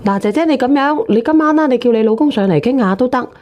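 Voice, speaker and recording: A woman's voice: high voice, expressive, fast, a little mellow, dull audio. A woman with a Hong Kong Cantonese accent hosting an advice phone-in, talking bluntly and telling people what to do. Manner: blunt, directive. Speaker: host of a phone-in advice show. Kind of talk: unscripted relationship advice chat.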